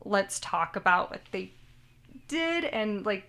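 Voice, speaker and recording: A woman's voice: mid-range voice, expressive, takes it slowly, sharp tone, slight background noise. A young woman co-hosting a casual anime podcast, chatty and rambling, often second-guessing how she pronounces names. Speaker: podcast co-host.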